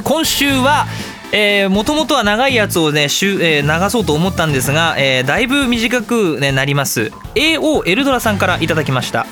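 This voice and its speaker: Male radio host: quick, high-pitched, animated comic monologuist who acts out both sides of chats, music underneath. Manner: animated storyteller, acting out both sides of conversations. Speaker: radio show host and creator of radio dramas. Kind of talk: comedic casual monologue on a web radio show. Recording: music playing behind the voice. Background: background music.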